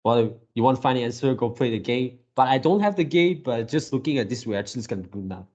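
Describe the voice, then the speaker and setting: A man's voice: mid-range voice, expressive, fast talker, a little mellow, muffled recording. A man giving casual reaction commentary as a video creator, rambling like an enthusiastic fan.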